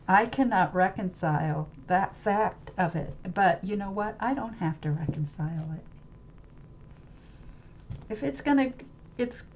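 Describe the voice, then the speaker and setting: A woman's voice: lowish voice, a little mellow, a little hiss or hum. An American woman thinking aloud about a book she read, rambling and searching for words with many restarts. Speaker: webcam channel host. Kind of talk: unscripted musing monologue about a book. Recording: slightly dull lo-fi audio with faint ambience.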